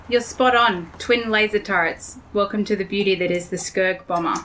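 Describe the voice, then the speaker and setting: A woman acts as a cocky female bomber pilot in a sci-fi audio drama. She is blunt, wry and sure of herself, at an average pitch, over faint background noise. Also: fairly even intonation, moderate pace, fairly bright tone, natural voice, poor audio. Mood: upbeat, curious. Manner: wry, blunt, self-assured. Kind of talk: scripted audio drama acting.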